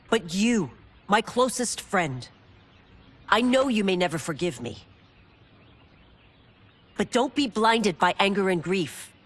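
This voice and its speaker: A woman's voice: medium pitch, a bit bright, full character voice. An actress playing a loyal, steadfast female warrior in a video game cutscene, speaking earnestly and respectfully. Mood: sorrowful, pleading. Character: loyal, steadfast female warrior, old friend of royal family. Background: music.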